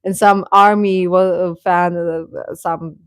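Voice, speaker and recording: A woman's voice: on the low side, fairly even intonation, clean tone, dry recording. Woman chatting casually in a close, dry recording. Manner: rambling, halting, often chuckling as she talks. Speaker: host of a K-pop fan channel. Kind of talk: casual livestream chat, replying to comments.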